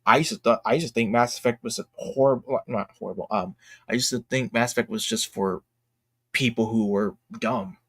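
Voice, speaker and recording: A man with a medium-pitched voice speaks in a casual, opinionated streaming style. His delivery is blunt and emphatic, circling back to repeat phrases as he goes. The sound is close and dry, with hardly any room in it. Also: a little fast, no echo. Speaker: streamer and commentator. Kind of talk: casual opinionated livestream commentary.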